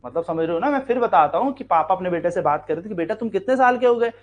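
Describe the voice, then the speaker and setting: High-pitched indian guy doing an exam maths class, keeps asking the class questions then answers them, repeats stuff and stretches words. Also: lively intonation, quick pace. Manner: explains step by step, asks the students questions and answers them. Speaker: maths teacher. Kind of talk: exam-prep maths lesson.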